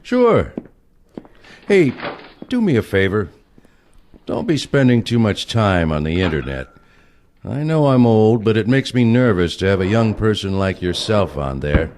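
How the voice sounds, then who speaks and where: Man playing a deep, slow, crusty old grandfather. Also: sing-song, a little mellow, slight background noise. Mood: stern, fond. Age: older. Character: sly, crusty elderly grandfather and secret assassin. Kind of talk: audio play acting.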